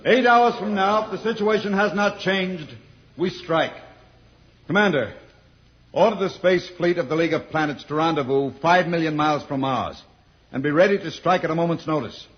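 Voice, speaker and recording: Man acting a high-pitched, grave statesman judge. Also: moderate pace, lightly acted. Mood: resolute. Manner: formal, earnest oratory. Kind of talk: vintage radio serial acting. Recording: dull, muffled old radio recording.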